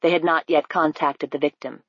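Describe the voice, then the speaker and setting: Woman narrating an audiobook, low and dry. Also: fairly even intonation, normal speed, breathy edge, no room sound, muffled recording. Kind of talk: scripted narration.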